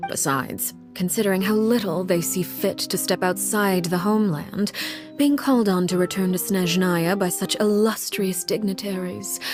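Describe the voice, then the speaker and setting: A commanding, enigmatic woman from a video game, with a lowish voice. She is measured, formal and dryly sardonic, with music faintly behind her. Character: cool, commanding, enigmatic woman crime-family leader.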